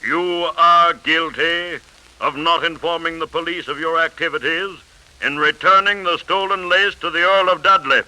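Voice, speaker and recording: An actor playing a stern, solemn eighteenth-century judge, formal and declamatory, in 1930s radio drama style. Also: male voice, a little high, steady tone, moderate pace, sharp tone. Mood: cold, accusing. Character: stern, solemn, unbending male 18th-century court judge. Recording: scratchy 1930s radio broadcast.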